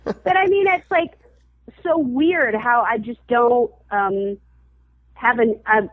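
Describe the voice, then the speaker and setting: A woman guest on a comedy interview, chatting casually and halting often with fillers and restarts. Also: a little high, dry recording. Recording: dry, phone-like narrow sound.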